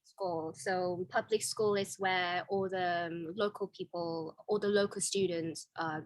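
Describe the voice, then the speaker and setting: A woman's voice: fairly low pitch, fairly even intonation, a little slow, no echo. A young woman from Vietnam speaking English with a Vietnamese accent, chatting candidly as an interview guest with many fillers and restarts. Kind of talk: casual interview chat.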